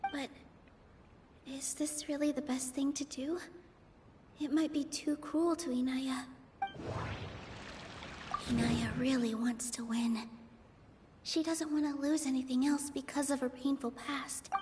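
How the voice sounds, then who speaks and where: A woman voicing a gentle young female dancer in a game, speaking in a soft, earnest way. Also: high-pitched, level tone, measured pace, long pauses, background noise. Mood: worried.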